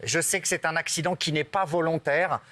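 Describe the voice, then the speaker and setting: Panel guy on tv, slightly high voice, lots of euhs. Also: steady tone, brisk, bright, forceful tone, dry recording. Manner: recounting with hesitant fillers. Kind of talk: studio panel talk.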